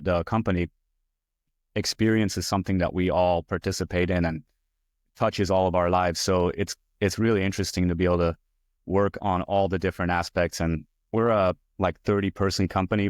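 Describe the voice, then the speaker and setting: Low, flat-voiced Hungarian-born man. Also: no echo, studio quality. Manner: relaxed, rambling with many "like" and "so" fillers. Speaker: guest, VP of user experience. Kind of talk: casual interview talk.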